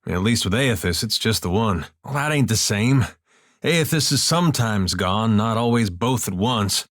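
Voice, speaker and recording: A man voicing an easygoing farmer turned adventurer in a video game, with a folksy, drawling, deadpan humour. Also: on the low side, moderate pace, no room sound, clean studio sound. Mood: wry, easygoing. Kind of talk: video game companion dialogue and barks.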